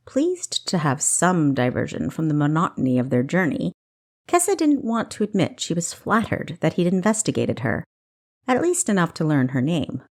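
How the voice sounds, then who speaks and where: A woman narrating fiction as a scripted, expressive audiobook read. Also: low-pitched, moderate pace.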